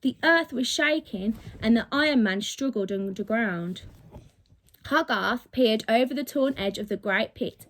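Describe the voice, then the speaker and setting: High-voiced woman reading a storybook. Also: animated, a little slow, fairly bright tone. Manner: dramatic, emphatic storytelling. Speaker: teacher reading to pupils. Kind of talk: expressive children's storybook reading.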